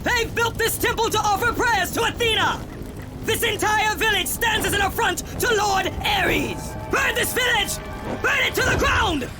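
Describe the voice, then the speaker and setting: An actor playing a fierce, vengeful Greek warrior in a video game, with a forceful, menacing, declamatory delivery. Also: male voice, very high-pitched, moderate pace. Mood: furious command. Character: fierce vengeful Greek warrior man in servitude to war god. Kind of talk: dramatic video game cutscene acting. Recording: loud dramatic music underneath.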